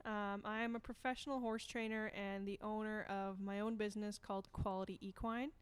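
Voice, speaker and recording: Smooth-voiced playful woman. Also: mid-range voice, flat delivery, brisk. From Canada. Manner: playful, jokey. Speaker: podcast co-host, horse trainer. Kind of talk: casual podcast chat.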